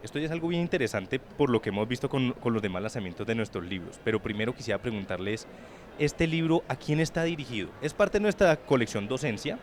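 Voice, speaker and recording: A man's voice: medium pitch, lively intonation, fast. A man hosting an interview about a book launch, chatty and digressive, asking long conversational questions.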